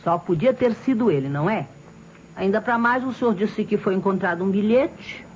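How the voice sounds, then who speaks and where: A woman's voice: mid-range voice, lively intonation, moderate pace, darkish tone, lightly acted. A woman acts a chatty Brazilian neighbour serving as witness in a vintage radio mystery. She is observant, gossipy and insinuating. The old recording is dull with faint noise.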